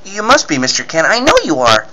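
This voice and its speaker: A man's voice: on the high side, huge pitch swings, brisk, pushed and bright, voice pushed up, exaggerated voice. A man voicing an earnest, pleading boy cub reporter in the manner of a 1940s radio serial. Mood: urgent pleading. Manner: gushing, pleading exclamations.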